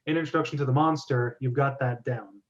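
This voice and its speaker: A man hosting a group discussion, giving feedback in a wordy, measured, organized way. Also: mid-range voice, steady tone, dull audio. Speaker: host of a script reading club.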